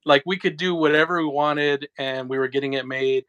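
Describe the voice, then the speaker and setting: A man's voice: a little high, fairly even intonation, fairly quick, a bit bright, clean tone, dry recording, highs cut off. A man in his forties, a guest in a casual chat, easygoing and laughing often as he trails off. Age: 40s.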